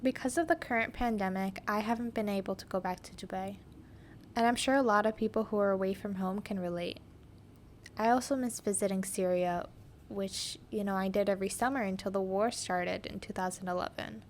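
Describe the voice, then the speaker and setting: A woman's voice: mid-range voice, steady tone, fairly quick, a little hiss or hum. A young woman hosting a podcast, telling her story in a reflective, scripted narration. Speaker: podcast host.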